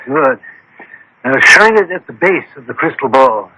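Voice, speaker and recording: An actor playing a shrewd, commanding doctor-detective in a radio mystery, articulate, authoritative and a little pompous. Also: male voice, mid-range voice, lively intonation, measured pace, very noisy, lightly acted. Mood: confident, instructive. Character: shrewd, commanding gentleman detective doctor, self-assured and exacting.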